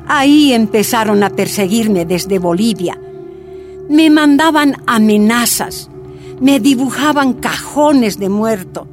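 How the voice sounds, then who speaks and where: Slow, mournful first-person memory, higher voice, guitar underneath. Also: female voice, expressive, a little mellow. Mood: sorrowful. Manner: slow, sorrowful recollection. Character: older woman leader looking back on her exile. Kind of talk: radio drama narration in first person.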